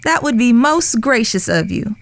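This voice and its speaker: Western audio drama: genteel, flirtatious lady acted by a woman, higher voice, gracious formal phrasing. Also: very animated, moderate pace, vocal fry. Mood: gracious, coy.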